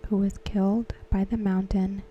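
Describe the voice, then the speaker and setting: A woman whispering softly as an ASMR host, talking through a TV episode. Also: mid-range voice, flat delivery, normal speed, muffled recording, a little hiss or hum. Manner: whispered, unvoiced and close. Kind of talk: soft-spoken ASMR commentary.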